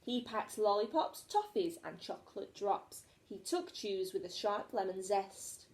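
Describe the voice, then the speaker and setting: A woman's voice: medium pitch, sing-song, unhurried, darkish tone. A woman teacher reading a children's story aloud expressively, recorded in an echoey room.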